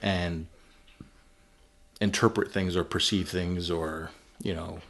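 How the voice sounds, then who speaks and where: A man hosting a movie podcast, giving his views in a relaxed, thinking-aloud way. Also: deep voice, measured pace. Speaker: podcast host. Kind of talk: casual film discussion.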